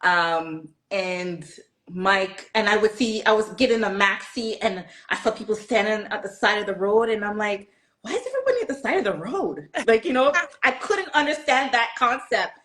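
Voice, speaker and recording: A woman's voice: medium pitch, normal speed. A middle-aged woman from Toronto, telling stories animatedly in casual chat and acting out quotes. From Toronto, Canada. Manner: animated storyteller, acts out quotes. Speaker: guest.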